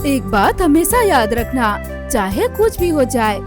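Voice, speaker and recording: A high-voiced narrator reads a scripted cartoon tale. The delivery is slow, and music plays clearly underneath. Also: sing-song.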